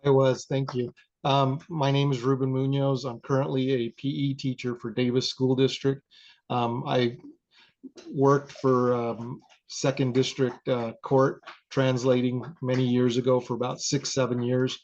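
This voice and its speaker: A man's voice: mid-range voice, measured pace. A man, a PE teacher, introducing himself in an online meeting with fillers. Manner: flat, with fillers. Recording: dull, lo-fi online call audio.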